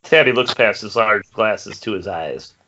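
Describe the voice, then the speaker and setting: Bright, forceful man narrating a screenplay. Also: fairly low pitch, measured pace. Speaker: narrator reading stage directions. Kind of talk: scripted narration at amateur table read. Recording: dull lo-fi Skype call, faint noise.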